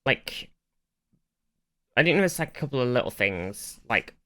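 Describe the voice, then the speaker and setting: Casual sweary man with a clear, bright voice. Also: mid-range voice, sing-song, normal speed. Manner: sweary, informal. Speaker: podcast co-host. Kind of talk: casual chat about a TV series.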